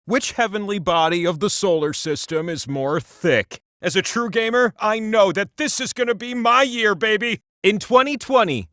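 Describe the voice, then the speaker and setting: An actor playing an excitable, quirky talking wheel that hosts a video game quiz, with a playful, mock-dramatic, showy delivery. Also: male voice, high voice, dry recording, studio quality, full character voice. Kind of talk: theatrical game show question reading.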